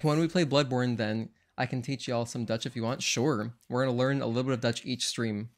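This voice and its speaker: A man's voice: medium pitch, steady tone, a little fast. A young man streaming a game speedrun, chatting casually with viewers, rambling and often correcting himself mid-sentence. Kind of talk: casual live-stream commentary. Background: faint game music.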